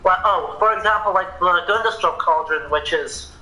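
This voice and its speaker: A man's voice: high-pitched, normal speed, sharp tone. A young man giving casual, informative commentary as co-host of a gaming livestream. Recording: roomy echo.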